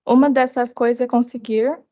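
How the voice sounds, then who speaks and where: A woman's voice: on the high side, fairly even intonation, brisk, dark tone. A teenage girl, a native Portuguese speaker, giving testimony as a student in short phrases. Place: committee hearing. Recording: dull, muffled audio.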